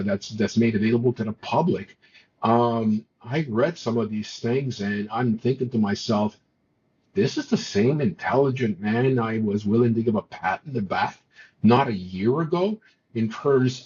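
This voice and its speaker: A man's voice: mid-range voice, a little mellow, dull audio, a little hiss or hum. A man from Montreal whose first language was not English, talking politics on a talk show in an exasperated way, often stumbling and restarting his words. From Montreal, Quebec. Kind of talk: political commentary chat.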